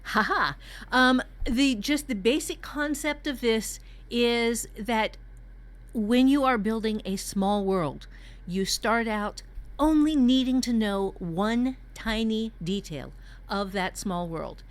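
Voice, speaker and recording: A woman's voice: a little high, slow pace. A woman teaching a writing workshop in a conversational way, candid and joking as she explains. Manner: candid, jokey, mildly profane.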